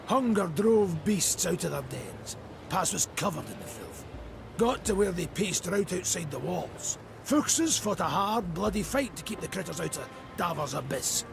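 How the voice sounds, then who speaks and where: A man playing an earthy, blustering dwarf clansman in a video game, with a Scottish accent and a gravelly voice. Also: high-pitched. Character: crude, evasive dwarf clansman confessing uneasily. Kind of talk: scripted video game voice acting.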